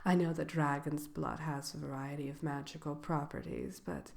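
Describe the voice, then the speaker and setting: Woman as a low, creaky, soft-spoken wicked witch. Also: level tone. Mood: helpful, musing. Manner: hesitant, unhurried, genteel shopkeeper patter. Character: wicked witch selling brooms, polite, slyly malicious. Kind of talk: ASMR roleplay, soft-spoken and partly whispered.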